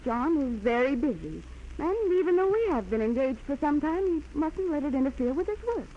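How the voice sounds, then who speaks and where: A woman's voice: high voice, very animated, brisk. Casting: straightforward young American woman engaged into money, persuasive, radio-drama polish, dull recording with faint noise. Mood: concerned, coaxing. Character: frank, coaxing young society fiancée. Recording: dull, noisy old 1940s radio recording.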